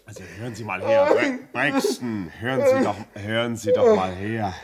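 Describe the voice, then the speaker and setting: An actor playing a rational, concerned gentleman in a radio play, speaking in an emphatic, admonishing yet reassuring manner. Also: mid-range voice, huge pitch swings, moderate pace, a loud crowd. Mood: alarmed. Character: rational, concerned gentleman urging a troubled friend to reason. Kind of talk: German radio play acting.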